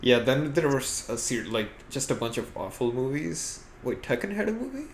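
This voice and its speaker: A man's voice: medium pitch, very animated, moderate pace, a little hiss or hum. A man in casual, informal podcast conversation, freely giving his opinions as a co-host. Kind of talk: casual chat about films and games.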